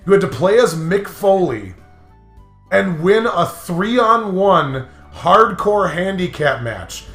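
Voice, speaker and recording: Higher-voiced man chatting in a roomy space. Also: very animated, takes it slowly, a bit bright. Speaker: host of a gaming commentary video. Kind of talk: casual banter and rambling gaming stories. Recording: roomy sound with music behind.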